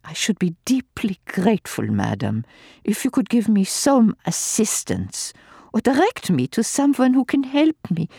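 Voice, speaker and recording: A middle-aged male doctor begging for help in formal, imploring words, as a reader puts him on. His voice strains upward and the delivery is slow. The sound is dry and close. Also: mid-range voice, wildly swooping pitch, vocal fry, darkish tone, breathy edge, no room sound, voice pushed up, full character voice. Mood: pleading.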